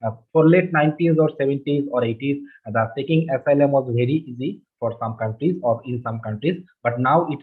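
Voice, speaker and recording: Man from Bangladesh on a tinny call. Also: medium pitch. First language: Bengali. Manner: halting, many fillers and restarts. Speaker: webinar presenter. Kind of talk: presenting slides in a webinar. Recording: husky, phone-like online call audio.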